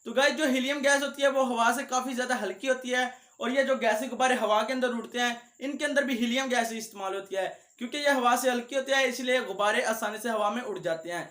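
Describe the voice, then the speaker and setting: A young man with a high voice hosting a vlog and talking directly to his viewers. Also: fast, hardly any pauses, a bit bright. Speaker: video creator, host. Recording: echoey room.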